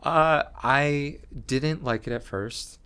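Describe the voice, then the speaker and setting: A young man talking slowly in a low voice with long pauses, chatting as a guest about his art and career. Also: pushed and bright, clean tone. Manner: slow, low-voiced, pausing talk. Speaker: comedian and graphic design teacher, guest. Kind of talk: casual interview chat.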